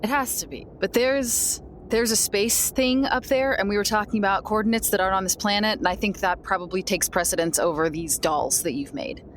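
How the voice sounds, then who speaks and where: A woman's voice: mid-range voice, brisk. A woman playing a tabletop roleplaying game, talking casually in and out of character. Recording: clear background noise.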